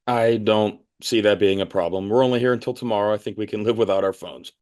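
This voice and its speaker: A man's voice: lowish voice, fairly even intonation, fast, a little mellow, close to an everyday voice. A man playing a polite, earnest, inquisitive interviewer in an audio play, hesitant and prone to stammering. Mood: hesitant, agreeable.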